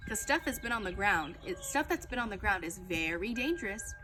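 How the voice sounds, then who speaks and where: A woman voicing an excitable little girl in a children's story, emphatic and exclaiming, with childlike grammar. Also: medium pitch, fairly quick, over music, natural voice. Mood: matter-of-fact. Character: excitable little girl telling her own misadventures. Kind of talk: children's audiobook-style read-aloud.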